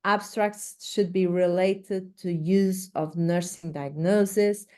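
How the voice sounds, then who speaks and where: A woman from Portugal, a native Portuguese speaker with a Portuguese accent, presents an instructional webinar in a halting, hesitant way with many pauses. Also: on the low side, steady tone, darkish tone. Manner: hesitant, halting delivery with frequent pauses and repeated words. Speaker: research director presenting a webinar.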